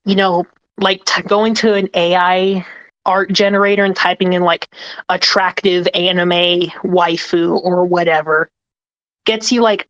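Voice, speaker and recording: A woman's voice: lowish voice, no echo, dull audio. A woman on a group podcast talking about her work as an ASMR creator, earnest and rambling, with many fillers. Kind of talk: casual group discussion.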